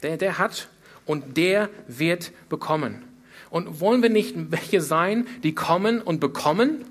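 A man's voice: on the high side, animated, unhurried. A man preaching a sermon, speaking earnestly with emphatic repetition and rhetorical questions. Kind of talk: sermon, expository Bible preaching.

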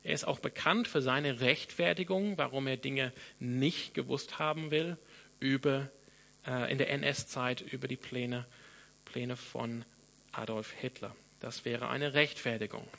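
Gravelly pastor with an Australian accent. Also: male voice, mid-range voice, steady tone, measured pace, fairly bright tone. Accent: Australian English. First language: English. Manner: thinking aloud with restarts and repeated words. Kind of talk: sermon.

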